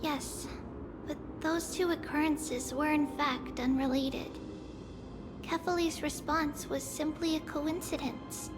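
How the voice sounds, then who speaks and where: A woman voicing a gentle, wistful little girl guide in a video game, with solemn, measured delivery. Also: high voice, steady tone. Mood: solemn storytelling. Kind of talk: video game character acting. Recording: game music behind the voice.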